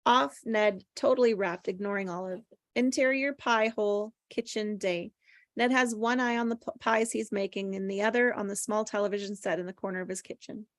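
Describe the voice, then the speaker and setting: A woman's voice: medium pitch, steady tone, brisk, no echo, studio quality. A woman reading stage directions as scripted narration at a table read.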